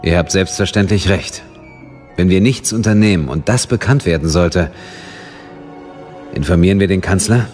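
An actor playing a dutiful, respectful intelligence captain, formal and factual, in a radio drama. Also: male voice, low voice, normal speed, long pauses, darkish tone, faint music behind, close to an everyday voice.

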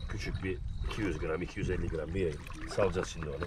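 Fast-talking man, distant in loud noise. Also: sits low, steady tone. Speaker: fishing companion. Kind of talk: casual chat among friends. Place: outdoors on an open lake shore. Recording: distant, very noisy outdoor camera audio.

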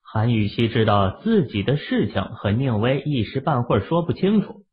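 A man's voice: medium pitch, very animated, moderate pace. A man narrating a novel as a scripted, dramatic audiobook reading. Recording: tinny, narrow-band phone-like audio.

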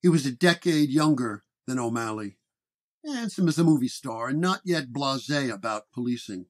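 A man's voice: medium pitch, expressive, normal speed. A man narrating a first-person detective horror story in a dramatic storytelling manner. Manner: storytelling, acting out dialogue.

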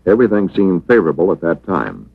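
A man reads scripted documentary narration in a measured, matter-of-fact manner. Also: low-pitched, big pitch swings, creaky, breathy edge. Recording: dull vintage 1960s film soundtrack.

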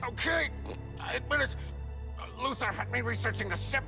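A cowardly scientist in a video game, babbling in a very high, creaky voice. He sounds anxious and flustered, with music behind him. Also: normal speed. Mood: nervous relief. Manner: nervous, flustered. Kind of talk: video game cutscene acting.

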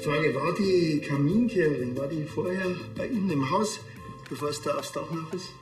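A man's voice: fairly high pitch, normal speed, reverberant, over music, close to an everyday voice, low-quality recording. An actor playing a grumpy, sardonic village policeman with a Bavarian accent and a dry manner in a TV crime comedy. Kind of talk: naturalistic TV crime comedy acting.

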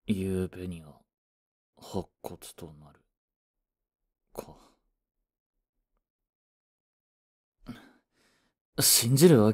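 A young office worker, played by a man, carries the regret of a proud boyfriend in a romantic audio drama. The voice is soft and husky, with a dark, mellow tone. The delivery is unhurried and introspective. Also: fairly low pitch, full character voice. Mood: regretful, brooding.

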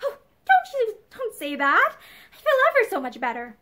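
A woman's voice: very high-pitched, wildly swooping pitch, normal speed, sharp tone, voice pushed up, full character voice. A woman voicing a timid, emotional young wife in a storybook, earnest and easily hurt. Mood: faltering, hurt. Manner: faltering, earnest, protesting.

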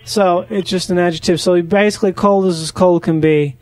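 Man, high voice, deadpan prank caller, naive, rambling, slow on the uptake. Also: no room sound. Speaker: prank caller on a comedy podcast. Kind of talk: deadpan prank phone call.

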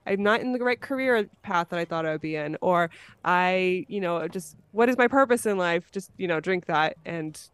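A woman hosting a podcast in casual chat, informal and quick to laugh. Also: fairly low pitch, smooth, no room sound. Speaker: podcast host.

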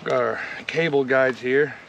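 A man's voice: medium pitch, a little slow, vocal fry, lo-fi sound, noisy background. Easygoing man explaining cable rigging outdoors. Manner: relaxed, matter-of-fact, thinking aloud while working. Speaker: homesteader demonstrating a repair. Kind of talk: casual how-to narration. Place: outdoors in a leafy yard.

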